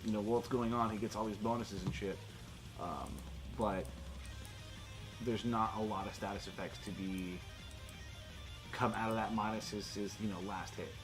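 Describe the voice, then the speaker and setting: A man's voice: lowish voice, fairly even intonation, moderate pace, pauses often. A man running a tabletop role-playing game as game master, talking and narrating in an informal, sweary way. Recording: music playing behind the voice.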